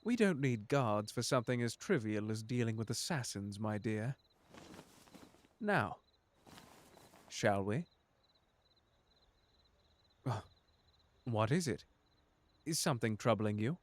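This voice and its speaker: Guy doing a smooth polite butler, dryly sardonic, super close dry sound, asmr roleplay type thing. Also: on the low side, lively intonation, slow, long pauses, no room sound. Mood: polite, composed. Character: suave, sardonic, unflappable demon butler, protective and courteous. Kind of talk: ASMR audio drama roleplay addressed to the listener.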